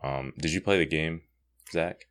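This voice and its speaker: A man's voice: a bass voice, a little slow, a bit bright. A man hosting a podcast, talking casually through a comic book review. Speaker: podcast host.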